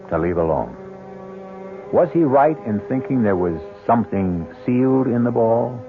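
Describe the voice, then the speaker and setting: A man hosting a radio mystery with ominous, deliberate, dramatic scripted narration. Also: mid-range voice. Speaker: host and narrator. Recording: muffled 1970s radio broadcast. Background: music.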